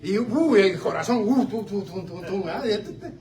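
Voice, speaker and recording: An older man from Central America preaching a sermon, swinging between loud exclamations and quiet asides. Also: high-pitched, animated, moderate pace, crowd noise. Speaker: pastor. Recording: slightly distant mic with faint room noise.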